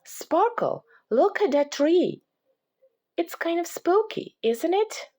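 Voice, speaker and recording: A woman voicing an excitable, playful young girl in a children's storybook, exclaiming and coaxing as if talking to her pet. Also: very high-pitched, slow pace, no room sound, clean studio sound, voice pushed up, full character voice. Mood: hushed wonder. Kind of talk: children's storybook read-aloud character voice.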